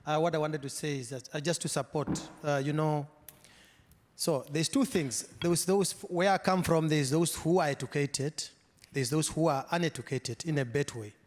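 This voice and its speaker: A man in the audience speaking up at a panel discussion, halting and full of restarts. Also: a little high. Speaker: audience member. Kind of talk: question from the floor. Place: large hall. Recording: long hall reverb.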